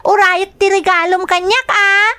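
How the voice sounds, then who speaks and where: A woman's voice: very high-pitched, flat delivery, unhurried, bright, forceful tone, full character voice. A woman with an Ilocano accent voicing an eager, affectionate little daughter in an audio drama, childlike in manner. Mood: excited.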